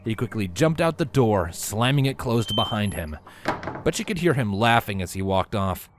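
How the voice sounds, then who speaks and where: Guy reading a story out loud, really lively delivery, bit of noise in the back. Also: on the low side, normal speed. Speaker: fan fiction reader. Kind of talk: animated storybook narration.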